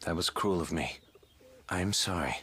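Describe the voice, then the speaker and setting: An actor playing a cynical, guarded young knight in a dramatic video game cutscene, with measured, formal archaic phrasing. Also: male voice, low-pitched, pushed and bright, breathy edge, exaggerated voice. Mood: gentle, grave. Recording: music playing behind the voice.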